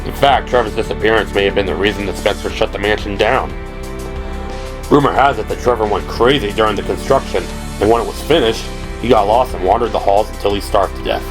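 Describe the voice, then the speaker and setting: A man voicing a blunt, knowing middle-aged police teammate in an audio drama, with gruff, slangy, unhurried delivery over music. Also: on the low side, natural voice. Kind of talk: audio drama character acting.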